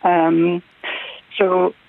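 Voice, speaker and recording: A man's voice: high-pitched, monotone, extremely slow, gravelly. A middle-aged man from Belfast with a Northern Irish accent, calling in to a radio show, polite and hesitant with many fillers. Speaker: caller. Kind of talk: radio phone-in call. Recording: tinny phone line.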